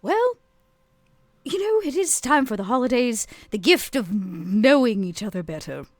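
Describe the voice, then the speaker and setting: A woman playing an aloof, haughty female vampire in a tabletop game, speaking in a deliberate, arch way. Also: fairly high pitch, big pitch swings, no room sound. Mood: aloof, probing. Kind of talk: in-character tabletop roleplay.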